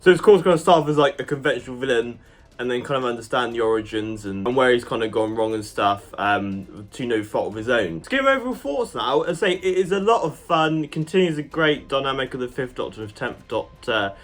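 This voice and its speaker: A man's voice: mid-range voice, expressive, brisk, a bit bright. A man giving a casual, enthusiastic review to his viewers as a channel host, chatty and loosely phrased with lots of "kind of" and "like".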